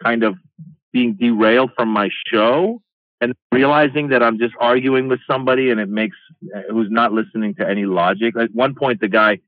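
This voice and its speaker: Brief: middle-aged man from Iran, comedian guest, animated storyteller, tinny phone-like dry audio. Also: mid-range voice, normal speed, no room sound. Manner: animated, acts out quoted exchanges. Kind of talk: casual interview storytelling.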